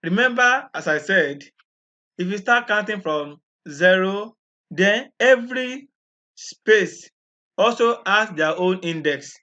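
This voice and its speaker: Slow, bright-voiced man teaching code. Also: high-pitched, animated. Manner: patient, step-by-step explaining with frequent repeats. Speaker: programming tutor. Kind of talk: screen-recorded coding walkthrough. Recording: dull lo-fi recording.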